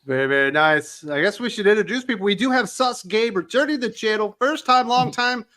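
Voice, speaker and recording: A man's voice: sits high, animated, bright, forceful tone. A man hosting a casual comic book talk show, teasing his guest and laughing easily. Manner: teasing, jokey, quick to laugh. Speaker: podcast host.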